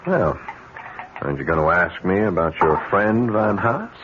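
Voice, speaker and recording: An actor playing a sly, breezy bachelor adman in a radio drama, smooth-talking, jovial and cocky. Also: deep voice, sing-song, normal speed. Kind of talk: 1970s radio drama acting. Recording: muffled, faintly noisy old radio recording.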